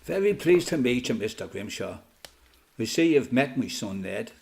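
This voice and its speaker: A man's voice: medium pitch, big pitch swings, normal speed, creaky, a little mellow, slight background noise. An actor playing an older Dublin father and former freedom fighter in a radio play, with an Irish accent and a folksy, colloquial way of talking. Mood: easygoing, hospitable. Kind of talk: radio play acting, conversation and reminiscence.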